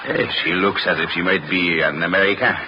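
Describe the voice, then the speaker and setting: Actor as deep-voiced, musing old Frenchman. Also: steady tone, a little fast, lightly acted. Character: elderly, musing French fisherman. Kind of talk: 1930s radio drama acting. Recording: noisy muffled 1930s radio.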